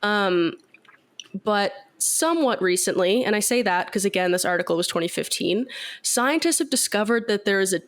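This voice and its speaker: A woman's voice: medium pitch, lively intonation, normal speed. A woman with a cold sounding congested, chatting on a podcast as she explains a science fact with lots of fillers. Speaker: podcast co-host.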